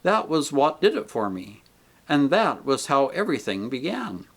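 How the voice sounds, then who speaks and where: A man voicing a radiant male spirit who answers calmly and patiently. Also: medium pitch, normal speed. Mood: serene. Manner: calm, patient, gently reassuring. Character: radiant joyful male spirit, calm and gentle.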